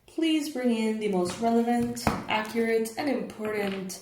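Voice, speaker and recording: A young woman reads tarot for her viewers in a hoarse, raspy morning voice. She chats casually, with fillers and the odd soft laugh. The room is echoey with a faint hiss. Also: on the high side, a little slow. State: hoarse, just woke up. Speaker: tarot reader, channel host.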